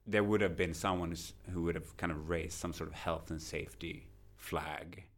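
Deep gravelly Swedish-born man. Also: fairly even intonation, normal speed. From Sweden. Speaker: guest lecturer. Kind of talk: casual podcast conversation.

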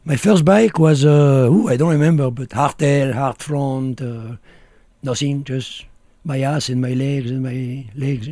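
A man's voice: mid-range voice, fairly even intonation, measured pace, mellow, dry recording. A middle-aged man with a French accent, a guest in a casual interview, talking bluntly in short, broken phrases. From France.

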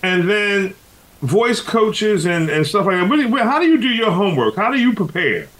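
A man's voice: sits high, big pitch swings, moderate pace, fairly bright tone, poor audio. A man hosting a radio interview show, asking questions in a chatty way and drifting into his own stories. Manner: chatty, jumps into personal stories.

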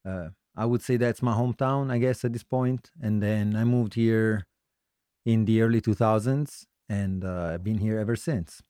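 A middle-aged man from Italy with an Italian accent, telling his story casually as an interview guest, halting and self-correcting with a joking streak. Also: fairly low pitch, steady tone, dark tone, clear, resonant tone, no echo, studio quality. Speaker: guest musician, singer-songwriter.

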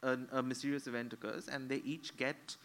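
A man talks to a live audience from a panel at a public event. He explains in fluent, animated stretches, with plenty of "um" and "so" along the way. His voice sits in a middle register, with faint background noise in the room. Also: medium pitch, fairly quick. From India. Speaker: novelist on a panel.

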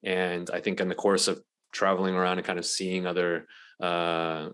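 Guy from malaysia on a podcast, really deep voice, rambly, lots of ums. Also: moderate pace, smooth. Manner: relaxed, rambling with many fillers. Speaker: podcast guest, accelerator co-founder. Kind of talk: casual interview talk.

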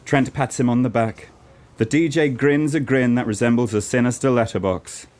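Man, medium pitch, scripted storytelling, radio play narrator. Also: normal speed, a little mellow.

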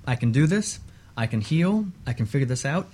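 A man speaking as an expert guest on a radio talk show, explaining in a measured, emphatic way and repeating points for stress. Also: mid-range voice, sing-song, a little mellow, husky.

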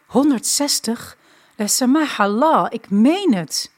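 A woman's voice: a little high, expressive, a little slow. A woman playing a devout, insistent elderly mother. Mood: indignant, firm. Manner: insistent, sprinkles pious phrases into speech. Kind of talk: audio drama reading.

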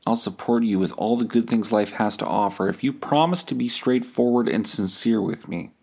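A man's voice: on the low side, normal speed, darkish tone. A man voicing a doting, possessive woman of forty-nine, a coaxing online sugar mommy, as he reads out her messages in a comedy podcast.

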